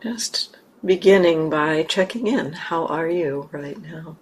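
A woman with a low voice guides a meditation at a very slow pace. She speaks gently as a teacher, leaving long pauses between short phrases. There is faint noise behind her.

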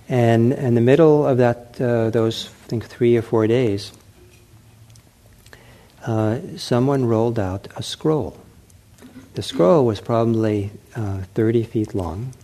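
A middle-aged man giving a dharma talk as a meditation teacher, gentle and unhurried, often pausing with fillers and restarting his phrases. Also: mid-range voice. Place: meditation center hall.

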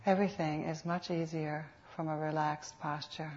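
A woman teaching guided meditation in an unhurried, soothing manner with reflective pauses, on a dull lo-fi recording. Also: sits low, little pitch movement, darkish tone. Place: meditation center. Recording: dull, lo-fi live talk recording.

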